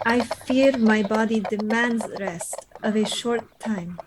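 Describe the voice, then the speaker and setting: A woman's voice: a little high, takes it slowly. An actress playing a mysterious, courteous young lady guest in a radio play, with a languid, gracious and formal manner. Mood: languid, secretive. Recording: clear background noise under the voice.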